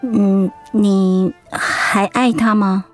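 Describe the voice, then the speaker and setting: An actress plays a sincere middle-aged woman, a loving wife and loyal friend in a radio play. Her mid-range voice is soft and measured. Faint music sits under the speech. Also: medium pitch, fairly even intonation. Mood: concerned, sympathetic. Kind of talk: radio drama acting. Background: background music.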